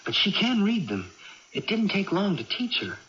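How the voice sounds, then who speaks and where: Earnest young brother, animated highish voice. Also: male voice, normal speed, full character voice. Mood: reassuring. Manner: gentle, instructive, patient. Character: earnest, patient young man tutoring his amnesiac sister. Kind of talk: 1980s radio drama acting. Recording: dull, hissy old radio broadcast recording.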